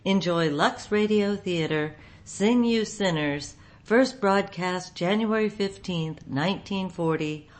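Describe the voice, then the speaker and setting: A woman's voice: medium pitch, takes it slowly, hardly any pauses. A woman reading a scripted introduction as host of a radio show.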